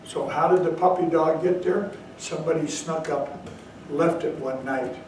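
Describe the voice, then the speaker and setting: A man with a fairly high voice tells a Zen teaching story, leaning hard on key words. He sounds far from the mic, his voice ringing through a very echoey hall with faint room noise. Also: moderate pace, a little mellow. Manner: storytelling, bursts of emphasis. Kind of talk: dharma talk.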